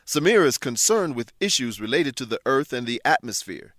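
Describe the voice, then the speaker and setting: A man hosting and narrating a scripted language lesson, explaining things in a clear, measured teacher's manner. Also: medium pitch, very animated, fairly bright tone, no room sound, clean studio sound. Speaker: host and English teacher.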